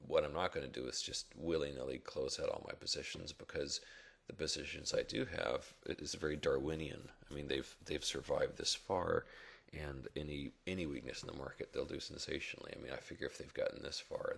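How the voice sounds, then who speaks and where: A man with a rough early-morning voice, giving halting, reflective, unscripted commentary on stock charts in a casual way. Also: a bass voice, fairly bright tone. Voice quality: rough, gravelly, husky. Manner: halting, reflective, thinking aloud. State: rough early-morning voice, not yet warmed up. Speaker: stock market blogger and chartist.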